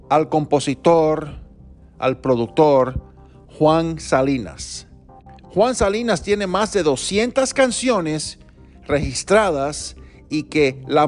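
A man hosting a live online show, introducing his guest in a slow, emphatic way with pauses. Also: on the high side. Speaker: show host. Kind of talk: live show host talk.

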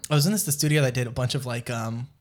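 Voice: medium pitch, fairly even intonation, quick pace. A podcast co-host in casual chat, teasing and laughing often.

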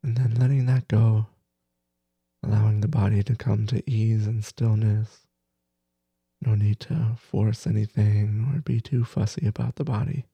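A man leading a guided meditation as teacher, gentle and soothing, with soft breathy phrases that trail off between long pauses. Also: on the low side, little pitch movement, dry recording, highs cut off. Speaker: meditation teacher, podcast host.

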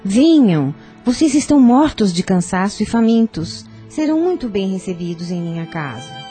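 An actress playing a kind, hospitable older aunt in a radio drama, gentle and maternal. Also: female voice, medium pitch, big pitch swings, moderate pace, soft background music, close to an everyday voice. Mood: kind, welcoming.

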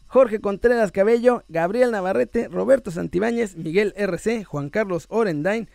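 A Mexican man with a high voice hosts an online sports show in a casual, chatty style. He is playful and joking, drawing out words for emphasis. The sound is dry and close. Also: darkish tone, no room sound. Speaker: host of a sports news video channel. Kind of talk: casual chat to viewers, reading out messages and shout-outs.

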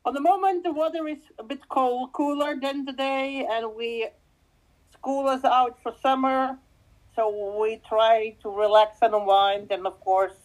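A woman in her fifties from Belgium, a native Dutch speaker with a Dutch-speaking Belgian accent, answering interview questions conversationally and haltingly, often repeating words. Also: on the high side, steady tone, poor audio. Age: 50s. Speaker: guest, spiritual medium.

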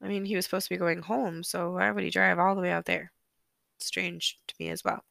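A sick, hoarse woman hosting a solo true-crime podcast, chatty and apologetic, filling pauses with ums. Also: on the low side, little pitch movement, a bit bright, dry recording, studio quality. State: sick, hoarse, losing her voice. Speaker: podcast host. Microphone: close podcast microphone.

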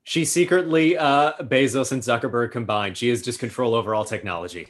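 A young man co-hosting a podcast, easygoing and practical in casual chat. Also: mid-range voice. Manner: easygoing, practical, quick laugh. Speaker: podcast co-host.

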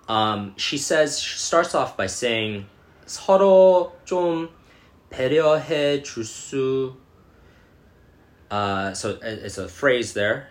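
Halting man sounding out foreign words. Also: lowish voice. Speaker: language learner reacting to a show. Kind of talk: casual commentary.

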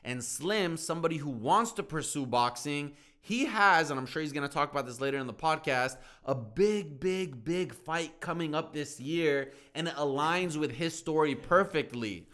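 A man's voice: fairly high pitch, lively intonation, normal speed, few pauses, a bit bright. A man in his thirties hosting a podcast, telling stories earnestly with a motivational bent. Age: 30s. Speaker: podcast host. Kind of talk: casual podcast chat.

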